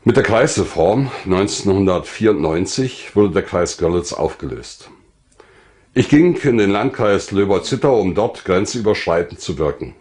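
Older man, low-pitched formal narration. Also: a little mellow. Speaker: writer narrating his life story. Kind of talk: scripted autobiographical narration.

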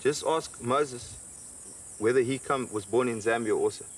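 A man's voice: mid-range voice, moderate pace. Distant man pressing questions outdoors. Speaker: interrogator. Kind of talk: interrogation. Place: outdoors in the bush. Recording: distant outdoor mic, faint insect noise.

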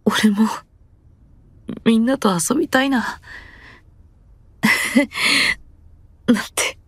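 A lonely young boy, speaking slowly and softly in a breathy, very husky voice. He is gentle and hesitant, with a wistful longing, in an anime-style drama. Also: a little high, no room sound, full character voice. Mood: wistful, hurt. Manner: hesitant, soft-spoken, wistful. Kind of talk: Japanese anime-style audio drama acting.

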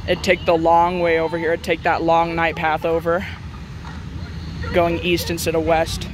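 Young man with an even, higher-pitched voice. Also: normal speed. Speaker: shortwave radio hobbyist. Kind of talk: casual handheld vlog commentary. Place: outdoors in a yard with grass. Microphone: handheld phone camera. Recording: noisy outdoor phone recording.

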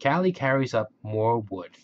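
A man reading a children's story aloud in a simple, expressive way, on a slightly dull home recording. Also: mid-range voice, moderate pace, a little hiss or hum. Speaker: picture book reader.

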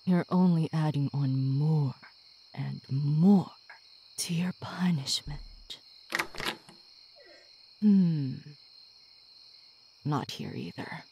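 A woman playing a sadistic, possessive female demon in an ASMR roleplay, theatrical, slipping between breathy whispers and menacing outbursts. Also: low-pitched, drawn-out words, long pauses, mellow, slight background noise. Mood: irritated, threatening. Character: sadistic, obsessive, possessive female demon stalking her pet.

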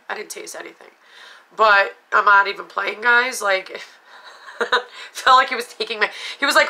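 A congested, nasal woman in her thirties chats straight into her phone in a small room at home. Her voice is bright with a gravelly rasp, and she talks bluntly, wandering off topic and back. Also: medium pitch. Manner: chatty, blunt, drifts off topic. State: congested from allergies. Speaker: vlogger. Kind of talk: casual chat to camera. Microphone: phone camera at arm's length.

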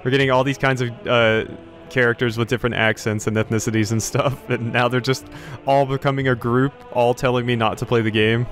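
A man's voice: mid-range voice, flat delivery, fairly quick, few pauses, over music. A cheerful young man giving laughing commentary while playing a game. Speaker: let's play host. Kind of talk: gameplay commentary.

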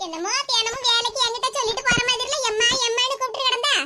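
Woman doing a nagging old mother-in-law, twang, shrill and fast, hiss in the background. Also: steady tone. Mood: scolding. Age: older. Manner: sharp, scolding, fast-talking. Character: scolding older village mother-in-law, quick-tempered.

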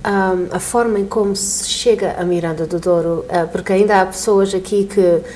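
A woman hosting a chat interview in a small TV studio, chatty and quick with short agreements. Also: medium pitch, steady tone, a little mellow. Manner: chatty, frequent backchannel. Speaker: talk show host. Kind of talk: interview questions.

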